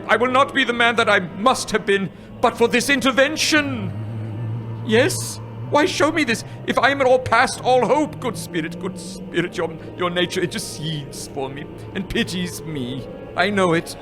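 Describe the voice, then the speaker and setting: An actor playing an old miser, pleading desperately and slowly with a ghost in a live radio play. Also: male voice, sits high. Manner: desperate, pleading, slow and grave.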